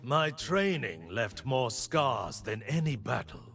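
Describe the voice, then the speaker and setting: A man playing a disciplined, resolute blind warrior monk for a video game, speaking in solemn, measured aphorisms. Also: medium pitch, very animated, creaky, fairly bright tone, soft background music, full character voice. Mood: disciplined, determined.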